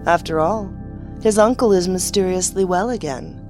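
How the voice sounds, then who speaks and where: A woman announcer giving dramatic scripted narration in a deliberate, mysterious storytelling manner. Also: on the low side. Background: music.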